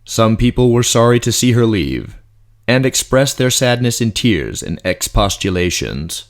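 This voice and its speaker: A man's voice: medium pitch, big pitch swings, a little slow. A man narrating a fantasy audiobook from a script in a dramatic storytelling style.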